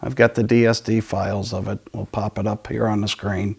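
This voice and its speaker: A man hosting a casual audio talk, enthusiastic but halting, often trailing off and picking the thought up again. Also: fairly low pitch, little pitch movement, creaky, a little mellow, slightly rough. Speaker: audio dealer and show host. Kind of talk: casual product and music talk.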